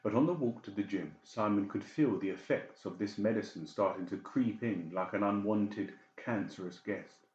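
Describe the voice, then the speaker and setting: Very deep-voiced male audiobook narrator. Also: moderate pace, hardly any pauses, darkish tone. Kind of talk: scripted dramatic story narration. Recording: roomy, slightly dull sound.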